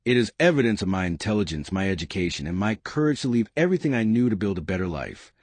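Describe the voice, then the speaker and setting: A composed young waitress who answers an insult with calm, measured dignity, voiced by a man. Her lines come in a lowish, lively voice, very dry and close to the mic. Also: dry recording. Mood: calm dignified. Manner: measured and steady.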